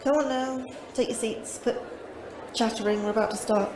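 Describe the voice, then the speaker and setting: An actress playing a young astrobiologist, anxious and wry, with a dry, scholarly way of talking. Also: female voice, a little high, fairly even intonation, normal speed, noisy background, close to an everyday voice. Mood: wry, lecturing. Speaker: lecturer. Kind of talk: scripted lecture in audio drama. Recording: roomy echo, faint music behind.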